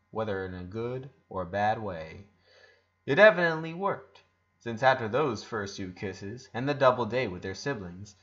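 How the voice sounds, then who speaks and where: A man's voice: lowish voice, fairly bright tone. Man narrating a story, dull lo-fi sound. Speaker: narrator reading fan fiction aloud. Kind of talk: scripted narration, audiobook-style story reading. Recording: dull lo-fi recording.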